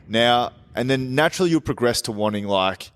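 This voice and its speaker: A man's voice: medium pitch, lively intonation, a little fast. A man, a podcast guest giving laid-back, step-by-step advice and tossing in "man" and "dude". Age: 30s. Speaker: guest, clothing label owner. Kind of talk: casual interview chat.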